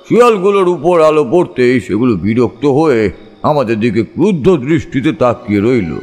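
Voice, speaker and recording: A man narrating a scripted first-person ghost story in a suspenseful, measured storytelling manner. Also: mid-range voice, darkish tone. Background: background music.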